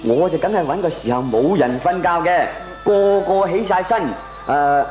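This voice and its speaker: A man's voice: a little high, normal speed, darkish tone, natural voice. An actor playing a scheming, gleeful male neighbour in a comic radio drama, with a Hong Kong Cantonese accent. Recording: echoey radio play with music behind.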